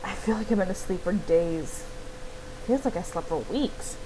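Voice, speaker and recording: Blunt, bossy young rider in a video game, read by a woman, animated and exclamatory, clearly noisy audio. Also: lowish voice, normal speed, lightly acted. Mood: teasing, lively. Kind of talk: reading game dialogue aloud. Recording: clearly noisy home recording.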